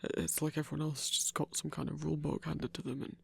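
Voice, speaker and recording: A man playing a shy, gentle lone werewolf hunter in an intimate audio roleplay, speaking haltingly with stammers in a breathy voice. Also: fairly high pitch, little pitch movement, full character voice. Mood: hesitant, awkward. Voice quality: breathy, husky.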